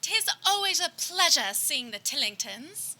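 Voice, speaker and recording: A woman's voice: high voice, big pitch swings, a little slow, bright, forceful tone, exaggerated voice. A woman playing a polite, naive 1920s lady guest in a comic radio play, with formal, over-proper speech. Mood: politely apologetic. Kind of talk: live comic radio theater acting.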